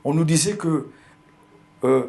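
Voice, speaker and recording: A man's voice: a little high, unhurried, vocal fry, a little mellow. An older man from Algeria with a pied-noir accent, telling his memories in an interview, hesitating and repeating words. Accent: pied-noir French. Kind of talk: recounting personal memories.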